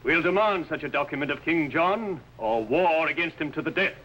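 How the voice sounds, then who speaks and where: An actor playing a resolute, solemn medieval archbishop, with formal, declamatory speech in 1950s television drama style. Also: male voice, fairly high pitch, expressive, moderate pace, bright, forceful tone. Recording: faintly noisy old TV soundtrack.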